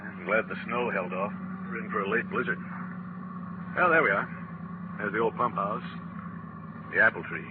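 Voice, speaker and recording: An actor playing a cold, driven medical researcher in a 1940s radio drama, with a curt, self-assured manner. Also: male voice, on the low side, normal speed, pauses often, natural voice. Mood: brisk. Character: cold, driven male medical researcher, impatient with his sweetheart. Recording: noisy muffled 1940s radio recording.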